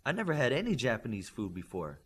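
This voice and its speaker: A man's voice: medium pitch, huge pitch swings, quick pace, a bit bright, breathy edge, heavily acted. Male reader as young American superhero, curious, cheeky, casual, swooping intonation, storybook. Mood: curious, teasing.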